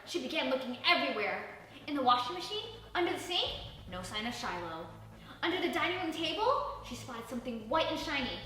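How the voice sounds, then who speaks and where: A woman narrating a children's storybook in an expressive, animated, dramatic way. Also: high-pitched, brisk. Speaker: storybook reader. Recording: echoey room, distant mic, faint music.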